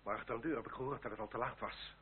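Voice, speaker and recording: A man's voice: mid-range voice, steady tone, racing, natural voice. A man playing a grave, urgent marquis with a clipped delivery in an old radio drama. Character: grave, urgent male marquis bringing warnings. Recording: tinny, noisy old radio recording with hum.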